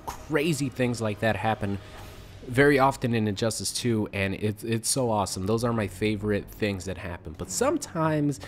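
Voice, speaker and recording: A young man chatting casually to his viewers about a fighting game, rambling with fillers, his voice slightly raspy from a sore throat. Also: lowish voice, animated, normal speed, a little hiss or hum. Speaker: gaming YouTuber. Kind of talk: casual chat to camera.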